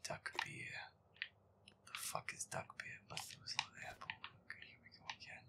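A man's voice: moderate pace, in a whisper, lightly acted. A man voicing a feisty, foul-mouthed young boyfriend, grumbling and exasperated, in a gameplay audio roleplay. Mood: muttering, annoyed. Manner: grumbling, profane, exasperated, often muttering under breath. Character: feisty foul-mouthed werewolf boyfriend grudgingly playing scary game.

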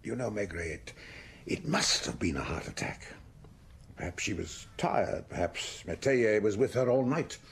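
A low, gravelly man's voice plays a fretful but practical country doctor in a radio drama. The delivery is lively, over a slightly noisy old broadcast. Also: measured pace. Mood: worried. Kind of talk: BBC radio drama acting.

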